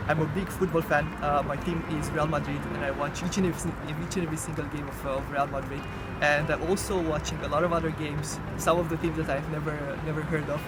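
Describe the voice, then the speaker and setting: Young romanian guy w/ accent doing a video intro, quick but kinda monotone, halting and earnest. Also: a little high, heavy background noise. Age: 20s. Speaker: applicant presenting himself. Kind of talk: semi-prepared video presentation. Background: loud music.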